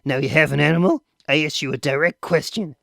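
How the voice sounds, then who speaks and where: A man's voice: fairly high pitch, a little fast, vocal fry, fairly bright tone, no room sound, clean studio sound, voice pushed up. A man reading aloud voices a stern, wealthy father who questions his son firmly. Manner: firm, clipped and questioning.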